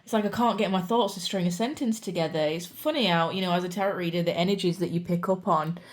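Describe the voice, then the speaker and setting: A woman's voice: fairly low pitch, fast. Chatty woman reading tarot with a sore throat. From United Kingdom. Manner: chatty and reassuring, says "you know" and "guys" a lot. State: sore throat, voice cracking at times. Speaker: tarot reader. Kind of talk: pick-a-card tarot reading.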